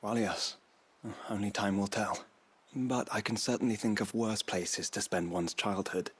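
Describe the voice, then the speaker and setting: An actor playing a composed, learned fantasy game companion, husky and breathy, speaking in measured, eloquent phrases. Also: male voice, fairly low pitch. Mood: pensive. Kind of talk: dramatic video game acting.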